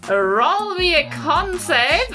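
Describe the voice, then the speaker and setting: A woman's voice: very high-pitched, bright, forceful tone. A woman with a New Zealand accent chatting as a player in a tabletop game, in a hesitant, giggly manner. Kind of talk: casual game chat. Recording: music bed behind voice.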